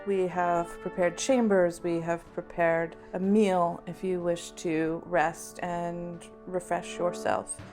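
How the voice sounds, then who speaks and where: A woman's voice: fairly low pitch, little pitch movement, slow pace, few pauses, music in the background. A woman voicing a regal fantasy queen, formal and gracious with a wry edge, in a tabletop game. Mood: gracious, dryly sardonic.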